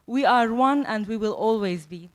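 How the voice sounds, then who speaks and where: A woman's voice: on the high side, normal speed. A young woman from Syria gives an earnest, prepared panel speech as a refugee musician. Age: young adult.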